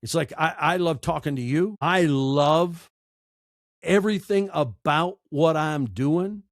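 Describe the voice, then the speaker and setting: Middle-aged American male podcast guest. Candid, heartfelt, emphatic talker, higher-than-average register, dry sound. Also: slow pace, no echo. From United States. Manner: emotional, earnest, emphatic with repeated phrases. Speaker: interview guest, audiobook narrator and podcaster. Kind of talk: candid conversational interview answers.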